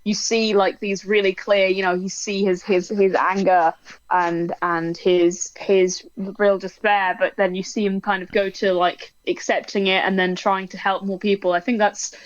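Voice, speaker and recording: A woman's voice: mid-range voice, level tone, normal speed, no echo, muffled recording. A woman co-hosting a podcast in casual chat, talking in a chatty, wry way with plenty of fillers. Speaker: podcast co-host.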